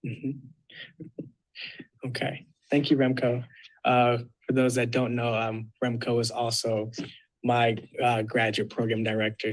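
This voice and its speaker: A young man moderating an online panel discussion, introducing each speaker with frequent pauses and filler sounds. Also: medium pitch, poor audio. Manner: halting, many filler uhs.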